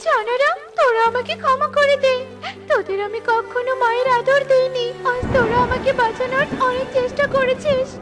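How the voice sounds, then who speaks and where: A woman voicing an arrogant, bossy mother eagle in a children's cartoon, with a shrill, theatrical delivery. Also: steady tone, moderate pace. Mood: desperate pleading. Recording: music behind the voice. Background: children's music.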